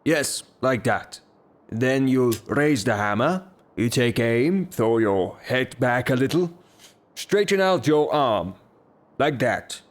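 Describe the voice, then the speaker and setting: An actor playing a hot-tempered, blustering rustic gentleman in a theatrical audio drama, declaiming his lines. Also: medium pitch, lively intonation, slow pace. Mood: instructing.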